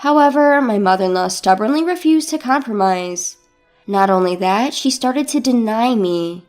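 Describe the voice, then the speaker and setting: A woman's voice: a little high, animated, normal speed, a little mellow, faint music behind. A woman reading a scripted first-person story as its narrator.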